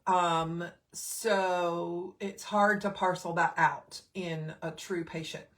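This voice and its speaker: A woman's voice: fairly low pitch, steady tone, slow. A middle-aged woman, a nurse, hosting a casual live stream with a slightly scratchy throat, chatting with many fillers and greeting viewers by name.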